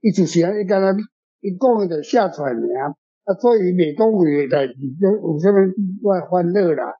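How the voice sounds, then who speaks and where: A man's voice: sits high, expressive, a little fast, warm tone. A man with a Taiwanese accent, explaining casually and conversationally as an author answering questions, often repeating himself. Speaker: author answering readers' questions about a story. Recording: dull lo-fi sound with music underneath.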